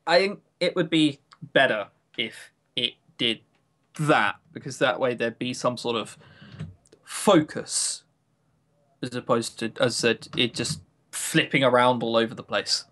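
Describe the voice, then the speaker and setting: A man's voice: mid-range voice, a little slow, fairly bright tone. A man chatting casually as a podcast co-host, giving opinions with wry, coarse humour. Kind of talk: casual chat reviewing games.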